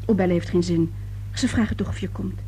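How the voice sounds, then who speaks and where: A woman's voice: lowish voice, steady tone, brisk, mellow, lightly acted. An actress playing a stern, practical middle-aged mother in a radio play, curt, probing and reproving. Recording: noisy old radio play with hum.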